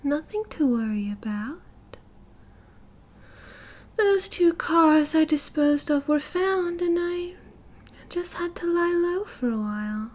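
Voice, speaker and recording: A woman's voice: high voice, lively intonation, a little mellow. A British woman playing a cheerful, oblivious and possessive yandere girlfriend in an ASMR roleplay, with a breezy, giggly, dramatic manner. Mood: teasing, doting. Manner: giggly, breezy, dramatic, chatty with drawn-out casual delivery.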